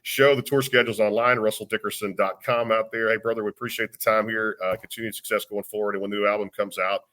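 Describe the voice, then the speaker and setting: Casting: quick, deep-voiced radio host, enthusiastic. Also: male voice, steady tone, sharp tone. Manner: upbeat, enthusiastic, plugs the show. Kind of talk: radio interview.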